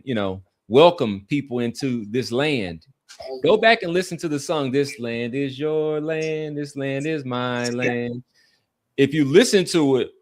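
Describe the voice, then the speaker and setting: A man in a casual podcast chat, talking in a reflective, halting and rambling way. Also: mid-range voice. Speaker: sports podcast host. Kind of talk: casual sports and society chat.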